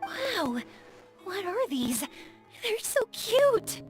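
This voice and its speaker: An actress playing a bubbly, excitable young woman traveler in an anime-style game, gushing and eager. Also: extremely high pitch, extremely slow, heavily acted. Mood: delighted. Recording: music playing behind the voice.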